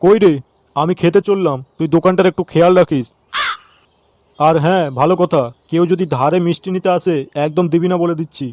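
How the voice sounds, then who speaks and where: A man's voice: fairly high pitch, steady tone, a little fast, darkish tone, slight background noise, natural voice. A man voicing an irascible, stingy sweet-shop owner and scolding father in a Bengali comedy cartoon, with a coarse, exasperated manner. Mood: bossy.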